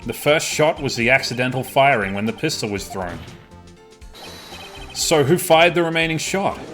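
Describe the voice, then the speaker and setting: A man's voice: mid-range voice, lively intonation, moderate pace, a bit bright, faint music behind, close to an everyday voice. Young male defence lawyer, acted by a man, medium register, earnest, insistent and emphatic, amateur game dub.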